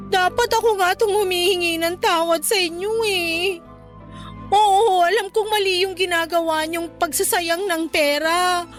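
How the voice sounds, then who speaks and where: Really high young voice, devoted worried grown kid in a radio drama, earnest, music underneath. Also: female voice, fairly bright tone. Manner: earnest, emotional, respectful. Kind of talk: Tagalog radio drama acting.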